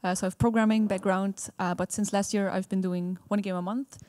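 A woman's voice: mid-range voice, level tone, fairly quick, darkish tone, dry recording. A young woman on a panel introducing herself with some fillers and a casual manner. The sound is dry and close. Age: young adult. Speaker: panelist, game developer.

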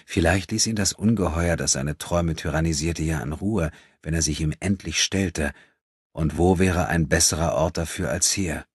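A man's voice: rumbling low, moderate pace, slightly rough, dry recording, studio quality. A man reading a novel as a dramatic literary audiobook narrator.

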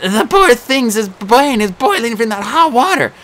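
A man voicing a timid young male satyr who stammers and pleads in a high, nervous voice. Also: sing-song, moderate pace, a bit bright, full character voice. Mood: nervous pleading.

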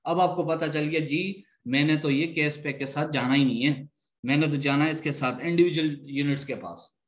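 Man, slightly high pitch, online instructor, step-by-step explaining, repetitive, frequent check-ins. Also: fairly even intonation, moderate pace. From Pakistan. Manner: repeats points and checks understanding often.

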